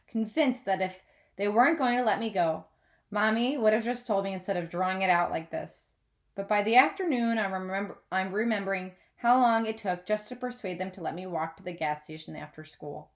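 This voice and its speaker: A woman reading a children's novel aloud as a teacher, with expressive scripted narration. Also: mid-range voice, a little fast.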